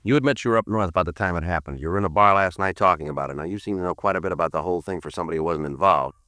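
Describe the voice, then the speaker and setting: An actor playing a terse, deadpan American police detective who narrates a 1950s radio crime drama. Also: male voice, low voice, rapid-fire, no room sound, clean studio sound. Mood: stern, pressing. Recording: vintage 1950s radio recording.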